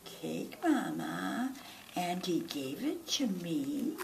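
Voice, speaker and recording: A woman's voice: on the low side, big pitch swings, very slow, creaky, darkish tone, pitched above the performer's own voice, exaggerated voice. A woman voicing an innocent, obedient little boy possum in a children's storybook, answering meekly and simply. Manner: meek, simple short answers. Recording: roomy, distant mic, faint noise.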